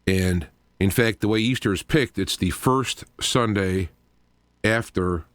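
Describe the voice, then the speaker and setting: A man's voice: on the low side, slow, no echo. A man hosting a live radio show in an informal, rambling monologue, explaining things as he goes with frequent asides.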